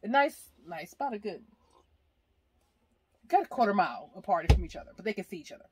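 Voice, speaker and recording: A woman's voice: medium pitch, big pitch swings, fairly quick, background noise. A woman in her forties telling a story in a casual chat, laughing often, filmed by a camera at arm's length inside a parked RV. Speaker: vlogger.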